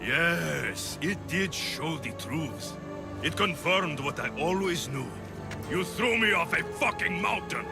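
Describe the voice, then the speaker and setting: A bitter young warrior in a game cutscene, his voice gravelly and hostile. His pitch sits fairly high and swings widely, contemptuous. Music plays behind him. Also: lively intonation, slow pace, heavily acted. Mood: furious. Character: bitter young male warrior, estranged brother. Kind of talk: video game cutscene acting.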